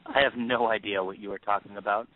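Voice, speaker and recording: A man playing a younger, falsely friendly schemer with a deliberate, flattering manner in an audio play. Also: on the low side, dry recording, natural voice. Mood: coy. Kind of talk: audio stage-play acting. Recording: tinny narrowband phone-like line.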